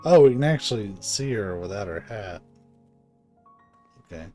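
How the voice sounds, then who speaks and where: A man's voice: lowish voice, animated, moderate pace, dark tone. A man streaming a video game with informal, sweary live commentary, sometimes reading the dialogue aloud. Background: game music.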